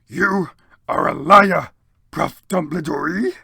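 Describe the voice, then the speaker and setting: A slow, gravelly goth wizard, angry and brooding. He declaims melodramatically in a strained, husky voice with a forceful, sharp edge. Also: on the high side, dry recording, clean studio sound, voice pushed up, exaggerated voice.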